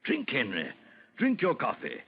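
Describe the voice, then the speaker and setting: An actor playing an effusive old French soldier, gallant and slyly sinister, in florid vintage radio horror style. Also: male voice, medium pitch, huge pitch swings, measured pace, creaky, slightly rough, exaggerated voice. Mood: slyly coaxing. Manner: florid, exclamatory, gushing with chuckles. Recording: muffled, noisy old radio recording.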